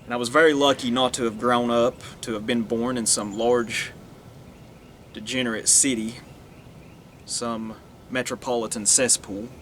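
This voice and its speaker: An earnest man, musing aloud in a loose, rambling monologue that often restarts and trails off. His voice sits at an ordinary middle pitch. Faint traffic noise hums behind him. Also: fairly even intonation, unhurried, a bit bright. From Northeast Tennessee, USA. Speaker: vlogger. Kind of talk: casual monologue to camera.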